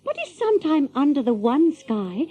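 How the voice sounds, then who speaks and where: An actress playing an earnest young medieval damsel in an audio drama, rambling innocently in flowery archaic speech. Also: female voice, high-pitched, sing-song, moderate pace, mellow.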